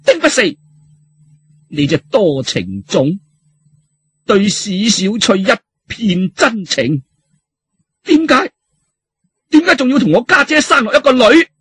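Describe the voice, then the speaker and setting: Cantonese audiobook: male reader as vengeful masked woman, high voice, mocking, relentless interrogator. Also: big pitch swings, slow, long pauses, fairly bright tone, husky, dry recording, exaggerated voice.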